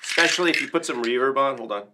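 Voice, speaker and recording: A man's voice: mid-range voice, rapid-fire, very noisy. A man hosting a casual livestream as a percussion teacher, talking through instruments in an informal, rambling, enthusiastic way.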